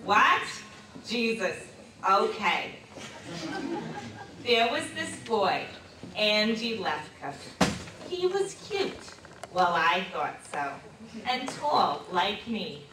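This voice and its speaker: An actress playing a reticent, embarrassed old lady reminiscing about her youth in a theatrical stage monologue, hesitant and confiding with dramatic pauses. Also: mid-range voice, big pitch swings. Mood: flustered, reluctant. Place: live stage performance in a hall. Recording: distant mic in echoey room, noisy.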